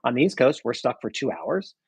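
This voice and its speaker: A man's voice: mid-range voice, big pitch swings, a little fast, vocal fry, slightly rough, no echo. A man, a cycling coach, explaining training ideas casually with many "right?" checks.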